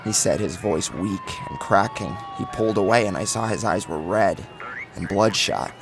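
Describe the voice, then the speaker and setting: A man's voice: fairly low pitch, normal speed. A man narrating a first-person horror story with an intense, emotive, dramatic delivery. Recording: music behind the voice.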